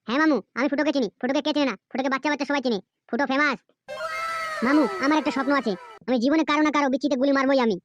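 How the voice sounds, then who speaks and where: Cartoon gang boss's nephew played by a woman: eager, cheeky lad, high and very quick. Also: steady tone, dull audio, background noise, close to an everyday voice. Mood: cocky, eager. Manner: boastful, cheeky. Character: cocky, eager young nephew of a gang boss, male. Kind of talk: amateur cartoon dubbing.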